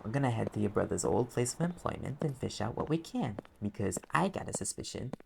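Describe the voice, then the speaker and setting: A man's voice: mid-range voice, lively intonation, a little fast, gravelly, noisy background. A man playing a sarcastic, streetwise demon private investigator in an audio roleplay, talking in an offhand, sardonic way. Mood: probing, sardonic. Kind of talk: conversational audio roleplay acting.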